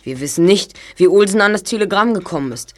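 A woman's voice: medium pitch, animated, fast, close to an everyday voice. Woman playing a clever, self-assured boy detective. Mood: confident, deducing. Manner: precise, explanatory. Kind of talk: German audio drama acting.

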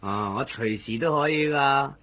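A man's voice: medium pitch, normal speed, lightly acted. An actor playing a casual, teasing and inquisitive male friend in a Cantonese radio drama. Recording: muffled 1990s radio broadcast.

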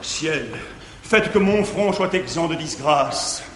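An actor playing a jealous, tormented middle-aged guardian, declaiming classical French verse comedy in a grandiose, anguished manner on stage. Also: male voice, a little high, lively intonation, takes it slowly, fairly bright tone. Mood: pleading, exasperated. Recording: 1950s theatre recording, echoey hall, faint hiss.

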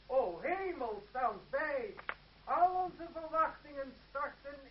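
An actor playing a lovestruck young stage lover with wooden, overblown declamation in a vintage radio play. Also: male voice, very high voice, animated, takes it slowly, full character voice. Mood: overblown passion. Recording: muffled, slightly hissy old radio recording.

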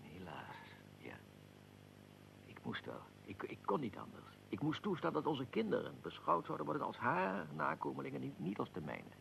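An actor playing a proud, aggrieved middle-aged archduke in a radio drama, speaking formally and deliberately with a gravelly voice. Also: male voice, mid-range voice, wildly swooping pitch, a bit bright, slight background noise, heavily acted. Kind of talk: radio drama, historical figure being interviewed.

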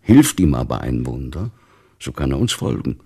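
An actor playing a devout, stern, weary older father in a literary radio play, speaking gravely with a gravelly, husky voice. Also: extremely low pitch, big pitch swings, moderate pace, warm tone, heavily acted. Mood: resigned.

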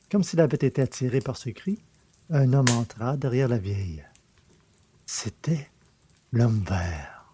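A man's voice: mid-range voice, warm tone, a little hiss or hum. A man reading literary fiction aloud as a scripted audiobook narration.

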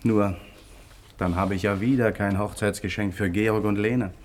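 An actor playing a friendly, impulsive, hard-drinking painter in a German radio drama. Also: male voice, on the low side, steady tone, normal speed, close to an everyday voice. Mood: eager, jovial.

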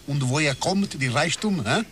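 An actor playing an opinionated Italian barkeeper in a radio drama, with an Italian accent, off from the microphone among clattering dishes. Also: male voice, a little high, animated, normal speed, background noise, lightly acted. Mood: animated, opinionated. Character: opinionated Italian barkeeper, male, skeptical of the rich church. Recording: distant from mic, loud bar clatter of dishes.

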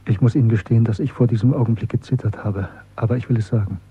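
An actor playing an earnest, cautious male clerk in a radio drama, with a husky voice and a reserved, correct way of speaking. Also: lowish voice, steady tone, quick pace, warm tone, dull audio. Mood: tense, confessional. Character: earnest, cautious low-ranking party clerk, secretly rebellious man.

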